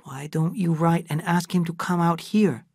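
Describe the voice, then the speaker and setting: A man voicing a thoughtful, wise household servant who reasons in a calm way. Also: on the high side, normal speed, creaky, husky, dry recording, clean studio sound, pitched above the performer's own voice.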